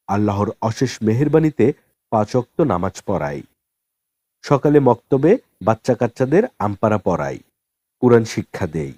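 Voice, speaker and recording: A man's voice: fairly low pitch, warm tone, dry recording, studio quality. Man reading as a humble, pleading village imam. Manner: formal, earnest, pleading address to a congregation. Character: humble village mosque imam, pleading over unpaid wages.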